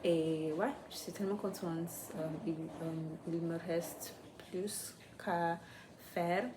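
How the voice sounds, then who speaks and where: A woman speaking French as a second language, talking unscripted in a vlog, pausing often and searching for words. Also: fairly low pitch, fairly even intonation, noisy background. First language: not French. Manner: hesitant, many pauses and fillers, searching for words. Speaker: French learner vlogger.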